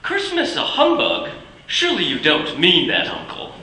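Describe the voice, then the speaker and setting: An actor playing a warm-hearted young nephew who speaks earnestly and pleads kindly, in a live stage radio play. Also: male voice, high-pitched, wildly swooping pitch, moderate pace, creaky, heavily acted. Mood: cheerful. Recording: echoey theater stage.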